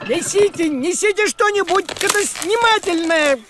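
Excitable, high-pitched fussy cartoon cat. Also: male voice, moderate pace, a little hiss or hum. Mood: panicked. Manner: excitable, grumbling. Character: thrifty, fussy talking male farm cat. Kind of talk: animated cartoon acting. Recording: music behind the voice.

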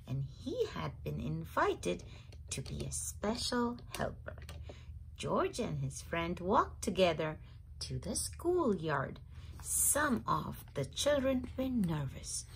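A woman's voice: mid-range voice, gravelly, a little hiss or hum, poor audio. A woman reading a children's story aloud expressively, drawing out key words for young listeners. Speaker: storybook reader.

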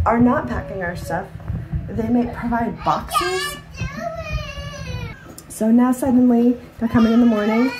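A woman's voice: a little high, background noise. A woman in her thirties, a mother, chatting to the camera in a casual vlog while losing her voice, sounding frazzled and self-deprecating. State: losing her voice, sore throat. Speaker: vlogger and mother. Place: home and parked car. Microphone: phone held at arm's length.

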